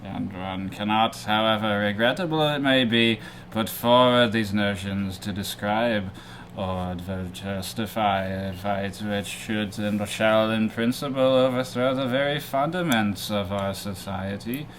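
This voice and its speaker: Low-voiced man reading slowly. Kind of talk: reading aloud a debate transcript. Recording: faintly noisy amateur recording.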